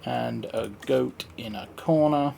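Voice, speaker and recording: A man with a creaky, gravelly voice at a middling pitch talks himself through a game. His commentary is casual and half to himself, over faint noise and keyboard clicks. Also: takes it slowly, mellow. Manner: thinking aloud, muttering to himself. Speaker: streamer playing a park-building game.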